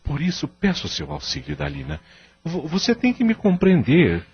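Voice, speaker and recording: An actor playing a remorseful, evasive husband in a melodramatic audio drama, pleading in formal phrases with a Brazilian accent. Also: male voice, a little high, huge pitch swings, moderate pace, slightly rough, exaggerated voice. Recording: dull lo-fi radio-like audio with music.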